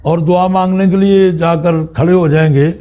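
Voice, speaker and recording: A man with a Pakistani accent giving a religious teaching talk as a spiritual guide, in deliberate, halting phrases with pauses. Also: high voice, steady tone, dark tone.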